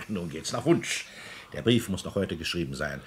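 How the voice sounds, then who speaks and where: A man's voice: low-pitched, expressive, moderate pace, slightly rough, exaggerated voice. An actor playing a cold, scheming man of power in a 1950s German radio drama, with calculating, theatrical emphasis. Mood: triumphant, scheming.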